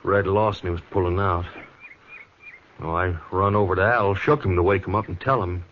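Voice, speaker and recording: An American actor playing an anxious, earnest cowhand with folksy speech in a 1950s radio western. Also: male voice, sits low, steady tone, moderate pace, lightly acted. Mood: anxious explaining. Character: agitated cowhand reporting trouble to the marshal. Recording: muffled, faintly noisy 1950s radio recording.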